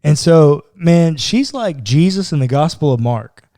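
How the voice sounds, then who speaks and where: A man's voice: fairly high pitch, expressive, unhurried, mellow, dry recording, clean studio sound. A man co-hosting a podcast as a pastor, chatting casually about the Bible with informal, slangy asides. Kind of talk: casual conversational Bible discussion.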